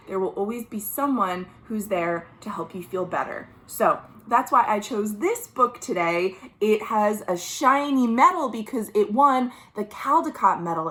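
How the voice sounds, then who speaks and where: An American woman reading a picture book aloud to young children, with chatty asides and gentle questions, drawing out key words. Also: a little high. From United States. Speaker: children's librarian hosting a virtual storytime. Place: at home.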